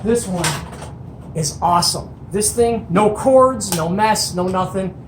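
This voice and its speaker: An enthusiastic show-and-tell host talks casually in a medium-pitched voice. The delivery is folksy and colloquial, like chatting to viewers over a workbench. The room is echoey, with faint noise behind. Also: a little slow.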